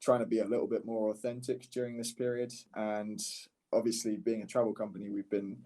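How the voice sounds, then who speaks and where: A man's voice: lowish voice, flat delivery, a little fast, mellow. A man speaking casually as a podcast guest and startup founder, candid and often rephrasing mid-sentence. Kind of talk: casual conversational podcast interview.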